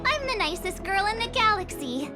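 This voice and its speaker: Bubbly, very high-pitched girl adventurer. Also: moderate pace, music in the background. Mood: indignant. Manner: bubbly, expressive, eager. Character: cheerful, naive, expressive teenage girl adventurer. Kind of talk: video game English dub acting.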